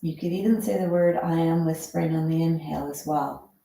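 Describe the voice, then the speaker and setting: A woman instructor leading a guided breathing meditation in a calm, soothing, measured way, leaving long pauses. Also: sits low, gravelly. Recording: very echoey, reverberant room.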